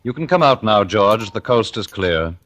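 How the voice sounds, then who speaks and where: A coolly murderous husband in a 1940s radio thriller speaks very low. He is measured and sardonic, needling with perfect control, on a faintly noisy old recording. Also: expressive, a bit bright. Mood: coolly menacing.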